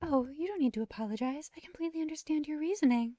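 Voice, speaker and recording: Woman acting a young angel girl, high-pitched, gentle mellow tone, shy, very polite, visual novel character, close dry sound. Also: lively intonation, rapid-fire, no echo. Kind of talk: visual novel dialogue read aloud.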